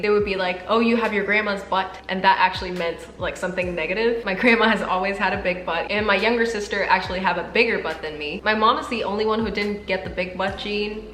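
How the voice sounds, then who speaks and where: A young woman talks openly to her camera, answering what viewers assume about her in a loose, chatty way and laughing often. Her voice sits at an average pitch. The room around her gives back a noticeable echo. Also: a bit bright. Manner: candid, chatty, laughs easily. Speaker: YouTuber. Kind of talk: casual vlog, reading and answering viewer assumptions.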